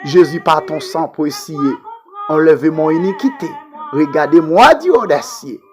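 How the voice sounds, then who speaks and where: Haitian person praying out loud, really fervent n pleading, super animated pitch, some music behind. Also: unhurried. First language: Haitian Creole. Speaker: prayer leader. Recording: music playing under the voice.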